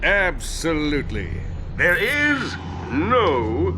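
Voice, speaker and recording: A man's voice: fairly high pitch, wildly swooping pitch, extremely slow, bright, forceful tone, slight background noise, heavily acted. An actor voicing a vain, bumbling male alien president who blusters and dodges questions, with music behind. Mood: pompous. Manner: blustering, evasive, self-important. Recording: game cutscene with clear music.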